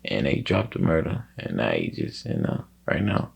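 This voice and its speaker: A man talks casually as an interview guest, his voice deep and full of gravelly creak. He rambles in thick slang and often circles back over the same words. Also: huge pitch swings, moderate pace. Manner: slangy, rambling, repeats himself.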